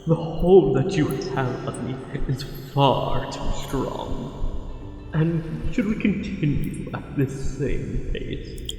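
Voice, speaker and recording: A man plays a possessive merman lover with formal, courtly speech and a dark, creaky voice. He is tender yet hungry, murmuring in an intimate ASMR roleplay. His words hang in a very echoey space. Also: a little high. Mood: amused, yearning. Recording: very echoey with long reverb, music behind.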